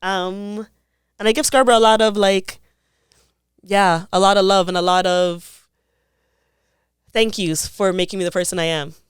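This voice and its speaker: A woman's voice: medium pitch, fairly even intonation, normal speed, long pauses, smooth, dry recording, studio quality. A young woman, speaking as an interview guest. She talks in a thoughtful, casual way and often says "like.". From Toronto, Canada. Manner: thoughtful, frequent "like" fillers.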